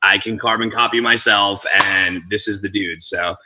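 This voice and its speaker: A man's voice: fairly low pitch, moderate pace, no echo, poor audio. A young man born in Colombia and raised in New Jersey, talking casually and energetically as a DJ and MC guest in an interview. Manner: energetic, talkative, street-casual.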